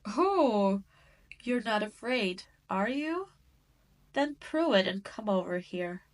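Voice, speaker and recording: A woman's voice: medium pitch, lively intonation, slow. A woman playing a cruel, gloating demon queen in a scripted audio roleplay, condescending and taunting. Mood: teasing, condescending.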